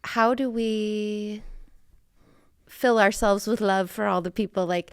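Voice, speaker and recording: Clear-voiced woman interviewer with creaky edges. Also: mid-range voice, fairly even intonation, slow pace, no echo, clean studio sound. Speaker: podcast host, interviewer. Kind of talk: casual reflective interview conversation.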